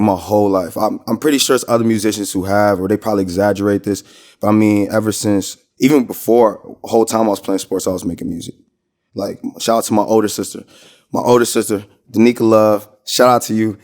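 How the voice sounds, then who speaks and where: A man's voice: fairly low pitch, a little mellow. An American man from Minnesota chatting casually as a podcast guest, with slangy, rambling storytelling. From Minnesota, United States. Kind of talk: casual interview chat.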